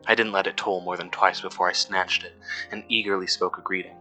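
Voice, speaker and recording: A man playing a weary, uneasy cave worker who narrates his audio log in a somber, measured, scripted horror-podcast style. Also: low-pitched, steady tone, pushed and bright, rough recording. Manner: somber, measured, literary storytelling. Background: instrumental music.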